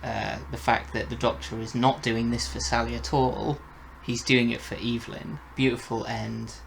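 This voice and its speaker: Casual male podcast reviewer, enthusiastic, mid-pitched with a gravelly creak, some background noise. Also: flat delivery, moderate pace, low-quality recording. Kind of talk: casual chat reviewing an audio drama.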